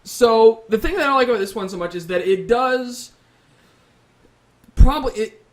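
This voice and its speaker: A man speaking as a panelist in a casual gaming discussion, conversational and careful to qualify his opinions. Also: high voice.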